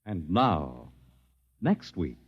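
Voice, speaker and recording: An American man announcing and narrating a 1950s radio show in a dramatic, portentous style with weighty pauses. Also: sits low, very animated, slightly rough. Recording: dull 1950s radio recording. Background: music.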